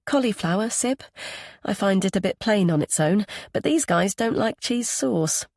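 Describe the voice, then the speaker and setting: A friendly, easygoing woman, a welcoming mother as a female narrator reads her in an audiobook. Her pitch is lively, with some creak, in a close, dry recording. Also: normal speed, no echo. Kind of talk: audiobook character dialogue.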